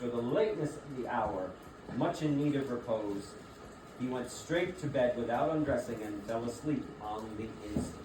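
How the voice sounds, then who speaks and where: A man's voice: medium pitch, background noise. Distant male storyteller in an echoey room. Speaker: narrator. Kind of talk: scripted theatrical storytelling narration.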